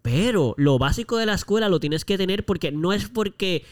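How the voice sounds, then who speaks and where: A man's voice: high-pitched, lively intonation, moderate pace. A man with a Puerto Rican accent co-hosting a casual podcast, speaking informally and mixing English words into his Spanish. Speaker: podcast co-host.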